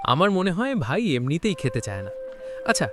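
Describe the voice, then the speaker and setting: A man voicing an innocent, excitable village boy in a cartoon story, in an earnest, wide-eyed manner. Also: fairly high pitch, brisk, music in the background. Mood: questioning.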